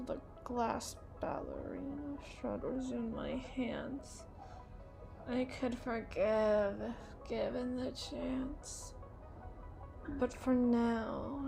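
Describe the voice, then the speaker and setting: A high-voiced, haughty lady boss played by a voice actress, tipsy and melodramatic. She draws her words out slowly and theatrically in a flirty ASMR roleplay, with music playing behind her. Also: heavily acted. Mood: wistful, pleading. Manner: theatrical, drawn-out, playful wordplay, slipping into breathy whispers. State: acting tipsy.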